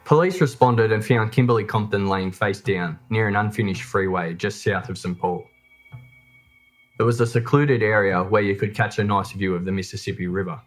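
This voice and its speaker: A man narrates a true crime documentary from a script. His voice sits low in pitch. Soft music plays behind him. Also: moderate pace.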